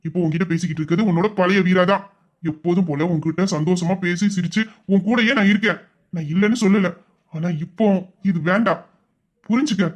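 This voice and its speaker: A man playing a young man who is hurt and quick to anger, scolding and exasperated in an audio drama. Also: high voice. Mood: firm. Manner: scolding, exasperated, shouting at times. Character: young man, wounded and quick-tempered lover.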